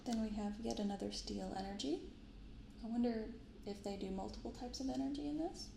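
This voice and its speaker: A soft-spoken woman hosting an ASMR unboxing, reading and commenting gently and without hurry. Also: a little high, fairly quick, creaky, echoey room, background noise. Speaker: card unboxing video host. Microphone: binaural microphone close up.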